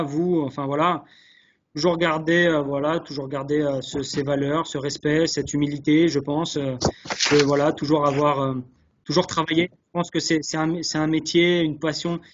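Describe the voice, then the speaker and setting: A man's voice: a little high, steady tone, unhurried, slight background noise. A man answering interview questions earnestly, hesitating and repeating words as he searches, on dull webinar audio. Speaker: guest, chef sommelier. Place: online video call.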